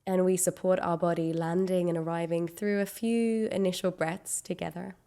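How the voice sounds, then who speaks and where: A woman leading a guided meditation, giving gentle, unhurried instructions with long pauses. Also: on the low side, steady tone.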